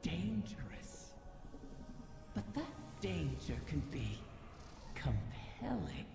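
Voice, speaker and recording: Gravelly sly game monster, slow and seductive menace, huge echoey reverb. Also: female voice, sits low, big pitch swings, music in the background, heavily acted. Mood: intrigued, menacing. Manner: slow, savoring, swooping delivery. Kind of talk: theatrical video game monologue. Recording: cavernous echo, long reverb, ambience.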